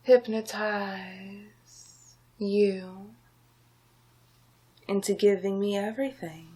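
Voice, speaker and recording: A woman voicing a scheming, domineering male sorcerer villain in a slow, breathy, often whispered ASMR roleplay. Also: mid-range voice, steady tone, mellow. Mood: condescending, menacing. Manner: slow, drawn-out, menacing, hypnotic.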